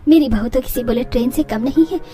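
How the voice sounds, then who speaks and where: A woman's voice: on the high side, quick pace, mellow, soft background music, natural voice. A woman voicing a proud, obliging middle-aged mother-in-law who likes to boast, in a family story.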